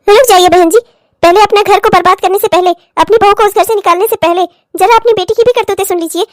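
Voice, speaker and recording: Woman playing a stern, lecturing female in-law, high voice. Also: steady tone, quick pace, dry recording, studio quality. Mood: indignant, sarcastic. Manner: emphatic, sarcastic, lecturing. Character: stern, righteous woman in-law exposing the family's hypocrisy. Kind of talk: melodramatic family story acting.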